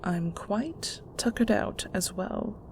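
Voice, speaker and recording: A woman playing a controlling, middle-aged mother in an audio roleplay, with condescending sweetness and a patronizing, deliberate delivery. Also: medium pitch, expressive, in a whisper. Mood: mock sympathy. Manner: patronizing, slow, deliberate interrogation.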